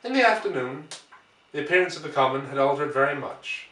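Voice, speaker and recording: A man reading a classic novel aloud as an audiobook narrator, with measured, deliberate phrasing. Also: a little high, animated, a bit bright. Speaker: audiobook reader. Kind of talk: dramatic literary narration. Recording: roomy, distant microphone.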